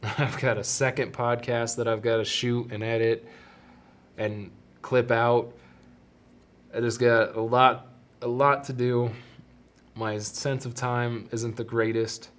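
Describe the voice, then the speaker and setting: A young man hosting his own podcast in casual solo chat, talking with a stuffy, congested nose in a rambling, self-deprecating way. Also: medium pitch. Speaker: podcast host.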